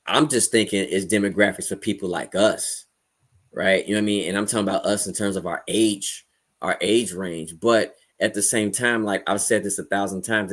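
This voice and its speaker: An American man in a casual livestream discussion, opinionated and explanatory, often checking in with his listeners as he goes. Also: lowish voice. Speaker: podcast panelist.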